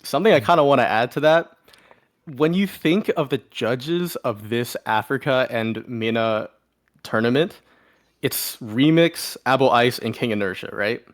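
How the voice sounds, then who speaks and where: A man's voice: medium pitch, animated, unhurried. A man co-hosting a podcast, giving casual opinions and analysis in a conversational way. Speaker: podcast co-host.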